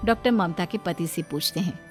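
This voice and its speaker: A woman's voice: lowish voice, fairly quick. A woman narrating a scripted dramatic story. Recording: music under the voice.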